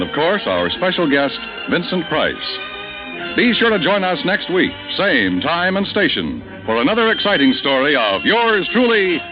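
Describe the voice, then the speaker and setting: Booming radio announcer, very lively. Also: male voice. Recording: muffled old radio recording with music.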